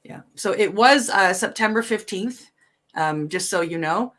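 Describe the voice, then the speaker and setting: A woman's voice: mid-range voice, animated, measured pace, fairly bright tone. A woman from Canada co-hosting a news show, reading stories and chatting with many fillers and restarts. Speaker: co-host reading cannabis news. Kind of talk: casual news reading and chat.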